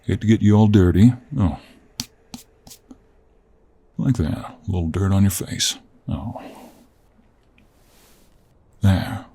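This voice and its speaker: A man playing a gruff, teasing, weary 49-year-old cowboy monster hunter in an intimate audio roleplay, with a husky Western American drawl. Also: low voice, dark tone, full character voice. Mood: tender, teasing. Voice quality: gravelly, husky. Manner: slow, drawling, with heavy sighs and pauses. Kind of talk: intimate audio roleplay acting, addressed to the listener.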